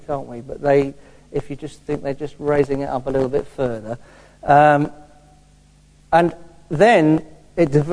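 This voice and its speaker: A man teaches from the Bible as a preacher, informal and conversational. His voice sits a little high for a man yet stays soft and mellow, with wry asides between explanations, and it rings with the long reverb of a hall.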